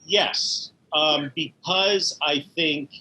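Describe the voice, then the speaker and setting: A man's voice: fairly high pitch, extremely slow, sharp tone, low-quality recording. A middle-aged or older man, an interview guest recalling his work in animation with emphatic enthusiasm. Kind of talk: conversational recollection.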